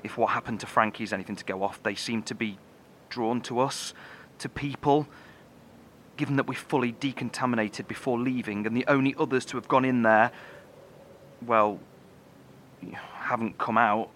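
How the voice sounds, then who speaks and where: A male engineer of a survivor bunker played by an actor, mid-pitched and sharp-toned. He is grave and cautious, explaining in a halting, solemn manner for a horror audio drama. A faint rumbling noise sits behind him. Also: steady tone, lightly acted. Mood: grave, analytical. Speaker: lead engineer.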